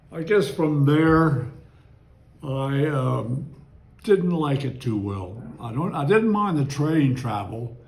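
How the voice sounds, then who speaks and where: A man's voice: medium pitch, a little mellow, roomy sound. A man in his nineties from Maryland, reminiscing about his childhood in an oral history interview and wandering into digressions. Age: 90s. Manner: rambling, digressive, chuckles while recalling.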